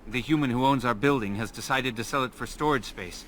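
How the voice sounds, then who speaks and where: A man acting as a proud elf father, worn down and weary, in a fantasy role-playing game. His voice sits mid-range with a sharp, bright edge, over faint background noise. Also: mid-range voice, a little fast, lightly acted. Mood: resigned.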